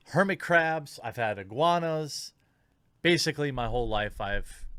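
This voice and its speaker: A man talking as an online commentator in measured, deliberate commentary. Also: medium pitch, fairly bright tone, no echo.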